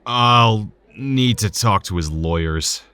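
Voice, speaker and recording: An actor playing a serious, cautious hospital surgeon in an audio drama, speaking in a measured, formal way. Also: male voice, on the low side, expressive, pushed and bright, clear, resonant tone, dry recording, faint music behind, exaggerated voice. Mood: grave, measured.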